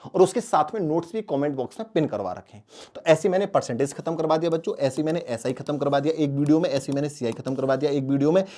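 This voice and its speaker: A man's voice: a little high, quick pace, mellow. A man coaching students as a teacher, emphatic, repeating key phrases and answering his own questions. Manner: asks questions and answers them himself. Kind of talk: exam strategy lesson addressed to students.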